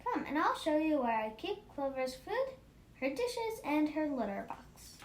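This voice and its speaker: A woman's voice: big pitch swings, measured pace. A woman voicing a friendly, gracious neighbor in a storybook read-aloud. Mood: welcoming. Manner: welcoming, gracious. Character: friendly, grateful woman neighbor who owns a cat. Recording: roomy echo, faint rumbling noise.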